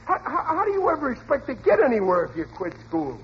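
Blustering 1940s radio family father, American, high voice, repeats himself, bad grammar; noisy vintage recording. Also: wildly swooping pitch, fast talker, dull audio, full character voice. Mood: indignant, blustering. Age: middle-aged. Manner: stammering, repeating himself, ungrammatical. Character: blustering, uneducated, well-meaning working-class family father. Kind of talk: 1940s radio comedy acting.

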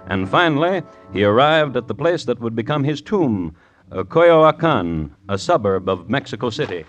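Old-time radio host, male actor: morbid, droll connoisseur of crime, mid-range voice, deliberate scripted delivery, faint music. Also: medium pitch, very animated, lightly acted. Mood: dry, deliberate. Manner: dry, deliberate, wry. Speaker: host and narrator. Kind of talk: 1950s radio scripted narration.

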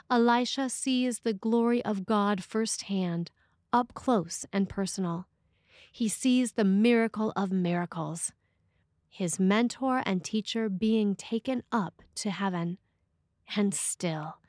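A woman priest reading a scripted sermon aloud in a measured, expressive way with deliberate phrasing. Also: medium pitch, no room sound, clean studio sound.

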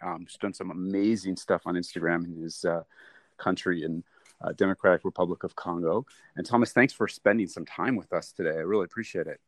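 A man's voice: deep voice, steady tone, a little fast, dry recording. A man hosting a podcast interview, enthusiastic, with many fillers and repeated words. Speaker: podcast host. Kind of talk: casual interview.

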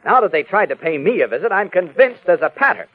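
An earnest, level-headed reporter in a vintage radio serial. His slightly high, husky voice is expressive, heard through a thin old recording. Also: fast talker, no echo, phone-quality sound, full character voice. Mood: calm, earnest. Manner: earnest, soothing. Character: calm, reasoning male newspaper reporter. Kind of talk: 1950s radio drama acting.

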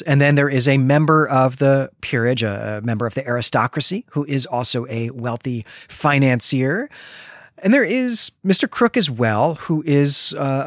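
Male podcast host, middle-aged, medium-pitched, conversational and explanatory, pausing mid-phrase, recorded dry. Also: no room sound. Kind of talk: conversational literary plot summary.

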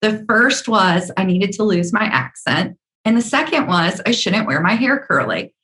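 A woman from the American South speaking with a measured, earnest manner and frequent ums, her Southern accent mostly hidden. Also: mid-range voice, animated, a bit bright. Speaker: speaker on workplace diversity. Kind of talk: talk with personal stories.

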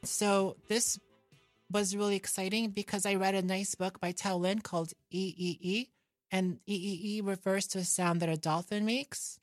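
A woman's voice: medium pitch, steady tone, moderate pace, no echo, clean studio sound, soft background music. A woman from New York chatting casually as an interview guest, in a guileless, self-deprecating, simply worded way. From New York, United States. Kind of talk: casual chat.

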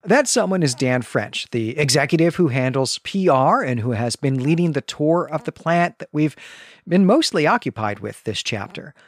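A man's voice: fairly high pitch, lively intonation, moderate pace. Man co-hosting a book podcast. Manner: thoughtful, explanatory, occasional laughs. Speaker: podcast co-host. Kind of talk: casual book discussion.

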